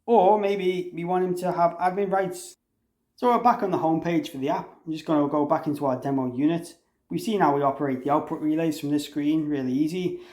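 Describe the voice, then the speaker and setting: A man's voice: fairly high pitch, fairly even intonation, a little fast, a little mellow. A man giving an informal, unscripted product tutorial, explaining things conversationally and thinking aloud as he demonstrates. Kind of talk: informal software walkthrough, unscripted. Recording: roomy, echoey room sound.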